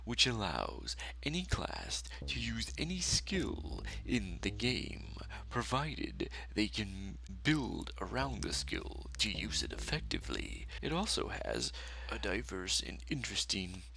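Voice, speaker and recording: A man delivering an opinionated scripted game review rant in an emphatic, hyperbolic, dramatic way with a gravelly voice. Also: on the low side, wildly swooping pitch, slow, bright, forceful tone, poor audio.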